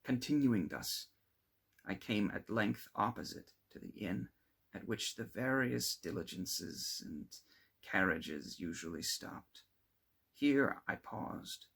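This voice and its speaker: A man reading classic literary fiction aloud as an amateur narrator, with dramatic, deliberate phrasing. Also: fairly low pitch, animated, gravelly, fairly bright tone, breathy edge. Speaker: amateur audiobook reader.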